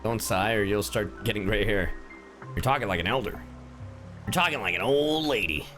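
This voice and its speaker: A man's voice: on the low side, moderate pace. A man giving casual live reactions to a game as a streamer, in short informal interjections. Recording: game music behind the voice.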